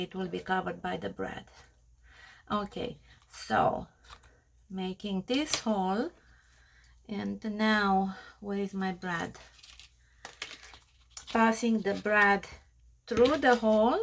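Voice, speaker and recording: Woman, strong Romanian accent, craft tutorial host, searching for words, slow, faint background hiss. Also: mid-range voice, vocal fry. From Australia. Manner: halting, searching for words, many fillers.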